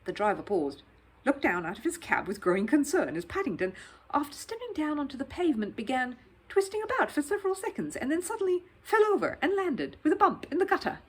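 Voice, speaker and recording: A woman reading a children's story aloud in expressive scripted narration. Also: high-pitched, brisk.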